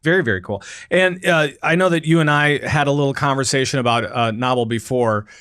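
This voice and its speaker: A man hosting a podcast, chatting casually in a halting way with many fillers. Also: mid-range voice, a bit bright, clean tone, no room sound, studio quality. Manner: halting, lots of fillers and laughter. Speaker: podcast host.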